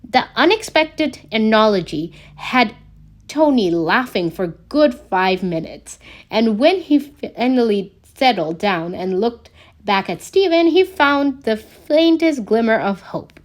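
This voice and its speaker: Woman reading a story out loud, kinda high voice, really moves up and down. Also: lively intonation, slow pace. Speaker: podfic narrator reading fan fiction. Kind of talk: scripted story narration.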